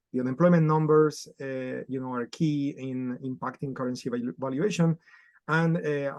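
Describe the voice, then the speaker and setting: A man speaks as a guest trader over a video call, laying out his points step by step. He fills pauses with "uh" and restarts often. His voice sits mid-range, recorded dry and close. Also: mid-range voice, a little mellow, no room sound. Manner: explanatory, frequent fillers and restarts. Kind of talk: podcast interview.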